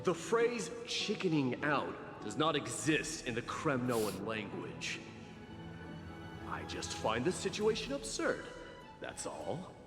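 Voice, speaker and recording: A proud crown prince and warrior speaks with haughty, sardonic gruffness and a lively range of pitch. It is game cutscene acting, with music behind and a hall-like reverb. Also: male voice, slow pace. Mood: scornful.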